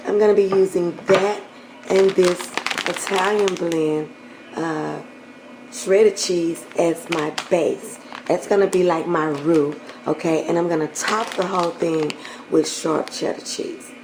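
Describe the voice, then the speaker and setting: A hoarse middle-aged woman chatting warmly to viewers while cooking in her home kitchen, often clearing her throat. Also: lowish voice, fairly even intonation, takes it slowly, background noise. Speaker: cooking channel host. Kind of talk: casual cooking vlog chat.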